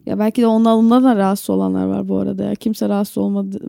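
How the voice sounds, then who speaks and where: A woman's voice: medium pitch, little pitch movement, fast talker, creaky, dark tone, dry recording, clean studio sound. A woman co-hosting a podcast, casually talking through her opinions in conversation. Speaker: podcast co-host. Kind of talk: casual chat, opinionated discussion.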